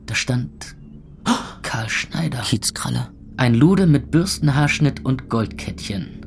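An actor playing a hungover young club owner, narrating in a weary, sardonic, gravelly voice in an audio drama. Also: male voice, mid-range voice, slow. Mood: wary, wry. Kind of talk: first-person scripted narration in audio drama.

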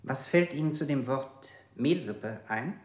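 An actor playing a male interrogator who asks word-association questions in a measured, insistent way. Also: mid-range voice, roomy sound, natural voice. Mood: clinical. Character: cold male interrogator, clinical and persistent. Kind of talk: radio drama acting.

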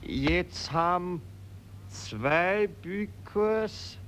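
An actor playing a pompous, slow-witted archduke in a radio play, speaking in halting, ponderous phrases. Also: male voice, sits high, fairly bright tone, a little hiss or hum, exaggerated voice. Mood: puzzled.

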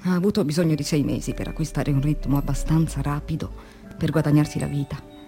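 An actress playing an anguished, exhausted factory worker confiding her diary in a dramatic radio monologue that shifts between hushed and vehement delivery. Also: sits low, fast talker, soft background music. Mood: tender, sad.

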